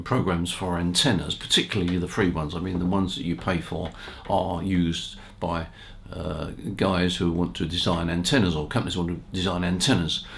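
A man, explaining things informally to camera in a measured way with rhetorical asides. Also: sits low, vocal fry, slight background noise. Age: 70s. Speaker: ham radio channel presenter.

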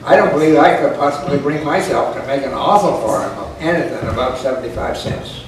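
A man's voice: fairly high pitch, a little fast, crowd noise. An elderly man in his eighties or older reads a folksy, humorous story aloud in a dry, rustic manner. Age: 80s or older. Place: indoor parlor with a small audience. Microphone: camera microphone at a distance. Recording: echoey, noisy room recording from far off.

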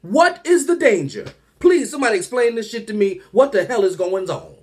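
A man in his thirties doing a comedic rant to camera, animated and exasperated, firing rhetorical questions from a small room at home. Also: sits high, moderate pace.

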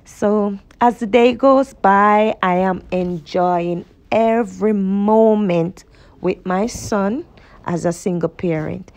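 A middle-aged Jamaican woman talking calmly and gratefully about her day, chatting while she exercises in an outdoor park gym. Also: mid-range voice, takes it slowly. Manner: calm, grateful, then chatty. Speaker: vlogger. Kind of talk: reflective narration and exercise chat.

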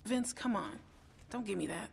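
A man's voice: high voice, expressive, moderate pace, vocal fry, background noise, full character voice. An actor playing a laid-back, blunt American handyman on guard in a survivor camp in a game. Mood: pleading.

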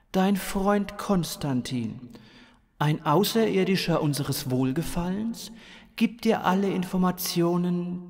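A solemn alien messenger, played by a male storyteller, speaks with slow, weighty authority. The words are drawn out and portentous, as orders handed down to an earthling in a science fiction audiobook. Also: high voice, gravelly, a little mellow, long reverb tail, voice pushed up, heavily acted. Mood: commanding.

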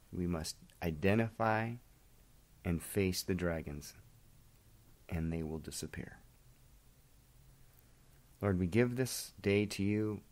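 A man with a fairly low voice hosts a daily devotional. He speaks as someone reading to listeners and leading them in prayer. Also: a little mellow. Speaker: devotional host.

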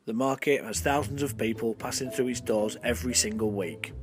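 A man's voice: medium pitch, brisk. Male documentary narrator over music. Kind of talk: scripted narration. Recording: clear music bed behind.

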